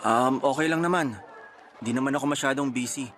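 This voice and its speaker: A man's voice: mid-range voice, fairly quick, fairly bright tone, natural voice. A man playing a reluctant, frightened young man facing unplanned fatherhood in a Filipino radio drama, hesitant and defensive. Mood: awkward, regretful. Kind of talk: radio drama acting in Tagalog.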